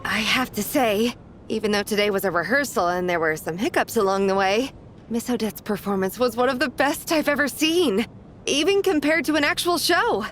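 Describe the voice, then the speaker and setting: Brief: actress as a gushing theater fan, slightly high voice, faint hiss. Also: female voice, moderate pace, fairly bright tone, natural voice. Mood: admiring.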